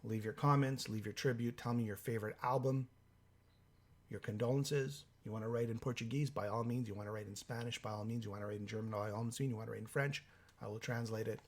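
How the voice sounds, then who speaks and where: A hoarse man with a cold hosts a live stream, reading out viewer comments in a halting way with many fillers. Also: on the low side, steady tone. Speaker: livestream host.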